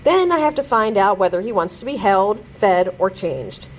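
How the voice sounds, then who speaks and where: A woman's voice: medium pitch, expressive, moderate pace, a little hiss or hum, close to an everyday voice, poor audio. A woman reading the lines of an easygoing, patient fifth-grade boy in a children's storybook, good-natured and a little resigned.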